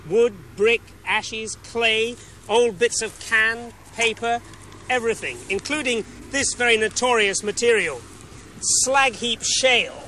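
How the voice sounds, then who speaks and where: A man in his thirties with an English accent giving a scripted television report in a wry, measured way with deliberate pauses. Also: very high voice, bright, forceful tone. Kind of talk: scripted investigative report, to camera and voice-over. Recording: 1970s TV film sound, background noise.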